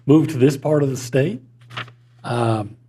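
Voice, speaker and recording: A man talking sports as a columnist on a studio show, measured and analytical, with dry asides. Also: medium pitch, dark tone, slight background noise. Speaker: sports columnist and regular guest. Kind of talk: casual sports talk chat. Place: broadcast studio.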